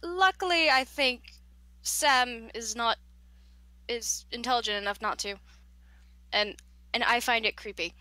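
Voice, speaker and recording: A woman from East Tennessee with a Southern accent, chatting excitably and playfully as a player in a tabletop game. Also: on the high side, unhurried, long pauses, no room sound. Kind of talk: casual chat during a tabletop game.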